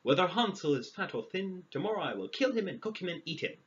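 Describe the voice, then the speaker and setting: A man's voice: high-pitched, sing-song, fast, voice pushed up. A man voicing a wicked, cunning old witch from a fairy tale, scheming and commanding. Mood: menacing. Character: wicked old witch, a child-eating woman, cunning and impatient. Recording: dull lo-fi audio, clear background noise.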